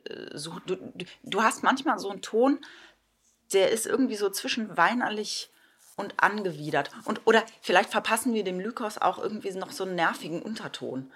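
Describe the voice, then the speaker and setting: A woman's voice: medium pitch, a little fast, fairly bright tone, slightly rough. A woman directing a rehearsal casually from home, searching for her words as she explains. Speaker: theatre director. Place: home, online video call.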